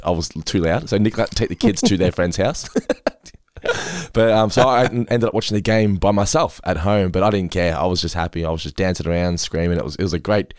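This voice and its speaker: An Australian man in his thirties with a hoarse voice, talking animatedly and bluntly as a podcast co-host. His pitch sits on the low side, and the sound is dry and close to the mic. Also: no room sound. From Geelong, Australia. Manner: animated, opinionated storyteller, swears. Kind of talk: casual chat.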